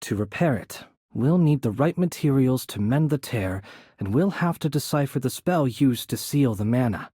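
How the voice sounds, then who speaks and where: A man playing a courteous, composed young noble mage in a video game, soft-spoken and slightly breathy, with polite, measured, formal phrasing. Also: medium pitch, animated, dry recording, studio quality. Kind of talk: video game character voice acting.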